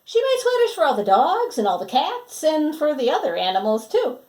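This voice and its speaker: Animated woman reading a children's story. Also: high-pitched, normal speed. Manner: dramatic, asks the young listeners questions. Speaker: children's story reader and teacher. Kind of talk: animated picture-book read-aloud.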